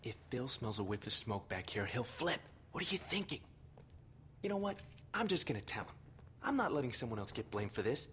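Casting: scolding survivor, indignant, very animated medium-pitched delivery, faint ambience. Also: male voice, a little fast, slight background noise. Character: indignant, scolding rule-keeper in a survivor camp.

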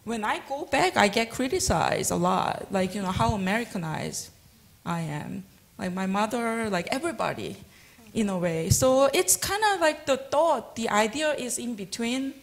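A Korean woman in her forties speaking English with a Korean accent as a panelist on stage, talking fast with many fillers and laughs into a handheld mic. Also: fairly high pitch, animated, vocal fry, low-quality recording. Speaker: panelist artist. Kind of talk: panel discussion. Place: stage of a museum auditorium.

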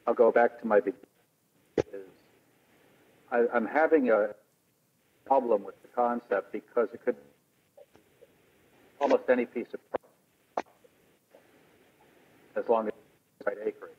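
A man's voice: medium pitch, steady tone, a little slow, long pauses. A man questioning a proposal as a committee member in a remote meeting. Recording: tinny phone line with clear noise.

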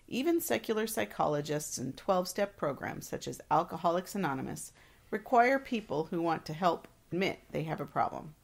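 A woman's voice: low voice, gravelly. A woman co-hosting a devotional, reading reflections and scripture aloud. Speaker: devotional co-host.

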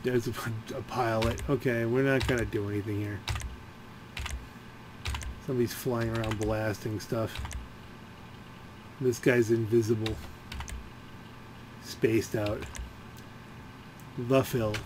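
A man giving casual, rambling gameplay commentary, halting as he thinks aloud. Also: medium pitch, steady tone, background noise. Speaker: gaming video creator.

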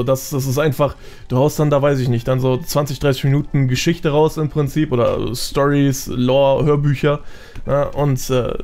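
A man's voice: mid-range voice, darkish tone. A man talking as a podcast guest in casual, slangy, colloquial conversation. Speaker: podcast guest, gaming YouTuber.

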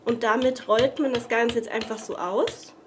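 A young woman with a cold talks her way through a crafting demo at a table at home, rambling and correcting herself as she goes. Her voice sits a little high. A faint hiss stays under the recording. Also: steady tone, moderate pace. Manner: chatty, rambling, many fillers and self-corrections. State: has a cold. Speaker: craft vlogger. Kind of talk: casual crafting tutorial chat. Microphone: camera above the table, speaker off screen.

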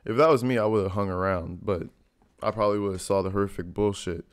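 Young American male reaction host, lowish voice, loose conversational slang with plenty of swearing. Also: a little fast. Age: young adult. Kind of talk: casual reaction commentary. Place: small indoor home room, gaming chair at desk.

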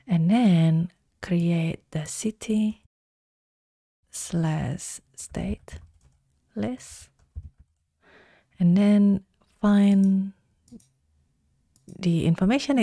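A woman giving calm, step-by-step instructions in a screen-recorded software tutorial. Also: medium pitch, no echo, studio quality. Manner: calm step-by-step instructions, slow deliberate pacing.

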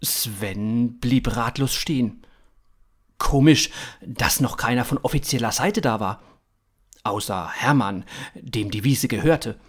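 A man in his thirties narrating scripted fiction in a dramatic, expressive way. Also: medium pitch, breathy edge.

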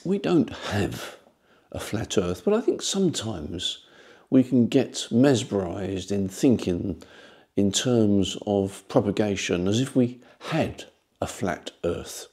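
A man, explaining things informally to camera in a measured way with rhetorical asides. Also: on the low side, sing-song, darkish tone. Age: 70s. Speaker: ham radio channel presenter.

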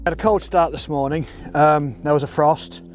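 A man's voice: on the high side, fairly even intonation, moderate pace, over music. A middle-aged man gives casual, matter-of-fact instructional commentary as an angler. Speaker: competition angler presenting a fishing film. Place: open boat on a lake, outdoors. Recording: outdoor water and boat noise.

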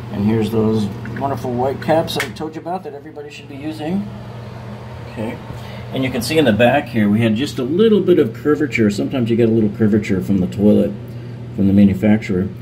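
Man, low voice, practical how-to narration, noisy home phone recording. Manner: practical, explains step by step with fillers. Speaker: DIY remodeling host. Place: home bathroom, indoors.

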